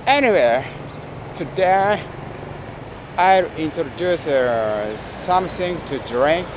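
A man in his forties with a Japanese accent, speaking English haltingly with many fillers as he vlogs to a handheld camera on a town street. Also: fairly high pitch, lively intonation. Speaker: travel vlogger. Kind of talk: casual vlog to camera. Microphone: handheld camera at arm's length. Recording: tinny phone-like sound with street noise.

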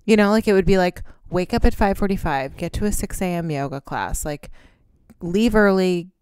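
A woman's voice: fairly low pitch, moderate pace, darkish tone, smooth, no room sound. A woman, co-hosting a podcast in casual chat, candid and rambling with casual swearing. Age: 30s. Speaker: podcast co-host. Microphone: close podcast mic.

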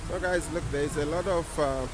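A man's voice: a little high, fairly even intonation, normal speed, background noise. A man from Zimbabwe, talking casually as a vlogger at an outdoor city event and repeating his thanks and words. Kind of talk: casual vlog narration. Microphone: handheld camera mic.

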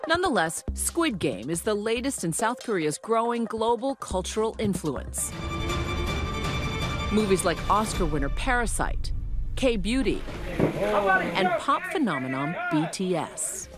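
A woman's voice: mid-range voice, big pitch swings, unhurried. A woman reading a scripted news feature as a reporter's voice-over. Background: music under the voice.

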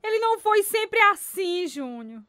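A woman's voice: very high voice, big pitch swings, a little slow, a bit bright, dry recording, exaggerated voice. A woman playing a battered, long-suffering mother in a radio drama, emotional and pleading. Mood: sad, resigned. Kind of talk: radio drama dub acting.

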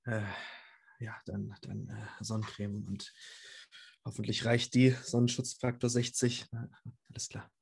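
A man's voice: fairly low pitch, flat delivery, a little slow, creaky, darkish tone, slightly rough. Hesitant, anxious man improvising in character. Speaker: roleplay player. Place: attic room at home, online video call.

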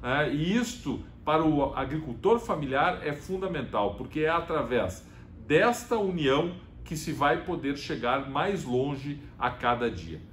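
A man in his fifties, a government official, giving a prepared, formal talk to camera from his office desk, filling pauses with short "eh" sounds. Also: a little high. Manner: measured, formal, frequent "né" and "eh" fillers. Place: office, indoors, seated at a desk. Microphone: fixed camera at desk distance. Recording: echoey office room, faint hiss.

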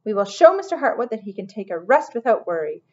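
Woman voicing a pompous, excitable male lizard clerk. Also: fairly high pitch, moderate pace, dull audio, pitched above the performer's own voice. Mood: excited. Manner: pompous, emphatic. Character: self-important, excitable male lizard hotel desk clerk. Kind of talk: children's audiobook character voice.

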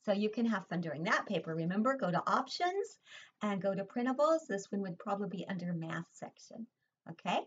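A woman's voice: medium pitch, breathy edge. Older woman teacher, sing-song webcam lesson. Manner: sing-song, asks children questions and praises answers. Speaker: preschool teacher. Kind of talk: online preschool lesson and story reading. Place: home room, indoors. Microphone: webcam laptop mic. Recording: dull lo-fi webcam audio.

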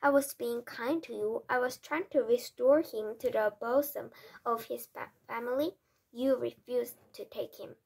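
A woman reads the lines of an old Norwegian grandmother in a storybook. She is calm, mischievous and matter-of-fact, and her fairly high voice has a husky edge. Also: measured pace, exaggerated voice. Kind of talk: storybook read aloud.

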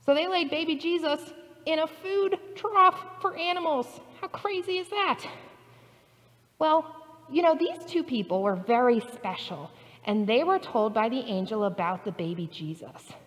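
A woman pastor, her voice a little high and full of swooping expression, tells a story slowly and carefully for small children. She speaks in a church sanctuary, picked up by a distant camera, so her words ring with a long echo. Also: lively intonation, fairly bright tone. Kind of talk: telling a Bible story to preschoolers. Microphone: far camera.

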